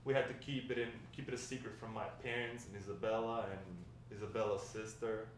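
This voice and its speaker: A man playing a grandfather who fondly recounts his wild, lovestruck youth in a halting table read. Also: fairly low pitch, steady tone, lightly acted. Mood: rueful, confiding. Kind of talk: table read of a dramatic script. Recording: echoey room, distant mic, faint noise.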